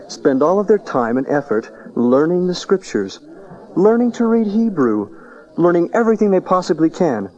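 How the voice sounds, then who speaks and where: A man's voice: fairly high pitch, dark tone, natural voice. A man playing a wise, playful and solemn rabbi in a dramatized Bible audio play, on a lo-fi cassette recording. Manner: rhetorical, playful then solemn. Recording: dull lo-fi cassette transfer.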